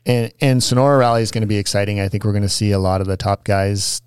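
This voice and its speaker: A man's voice: lowish voice, fairly even intonation, fast talker, a little mellow, smooth, no room sound, clean studio sound. A man co-hosting a podcast in casual chat, rambling along with fillers and restarts. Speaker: podcast co-host.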